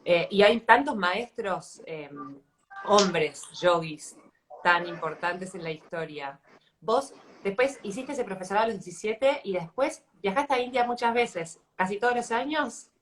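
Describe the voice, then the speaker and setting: A woman's voice: mid-range voice, expressive, measured pace, slight background noise. A woman in her thirties with an Argentine accent, interviewing in a casual, reflective way as she searches for her words. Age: 30s. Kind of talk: casual live interview chat.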